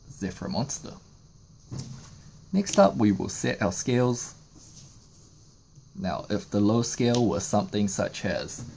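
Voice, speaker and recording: A man's voice: lowish voice, creaky, mellow, a little hiss or hum. A man narrating a step-by-step card game tutorial, explaining each move in sequence. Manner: explanatory, walking through moves in sequence. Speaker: trading card game YouTuber.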